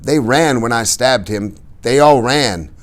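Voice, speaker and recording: A frightened teen tough who keeps his voice low and steady, as a man puts him on, pitched above the reader's deep voice. He sounds shaken underneath. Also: measured pace, close to an everyday voice. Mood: quiet, shaken. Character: scared quiet teen greaser boy.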